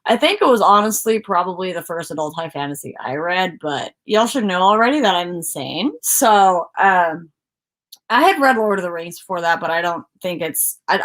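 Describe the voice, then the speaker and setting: A woman's voice: fairly low pitch, a bit bright. A woman co-hosting a book livestream, chatting casually in a rambling, informal way. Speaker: co-host of a book discussion livestream.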